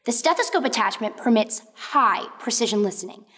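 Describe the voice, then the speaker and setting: Woman as an excitable girl inventor in a reverberant hall. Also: fairly high pitch, a little fast. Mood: excited, proud. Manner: emphatic, excitable. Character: eager, stubborn girl inventor, proud and dramatic. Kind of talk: stage-style radio play acting. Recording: reverberant room, noisy with clicks.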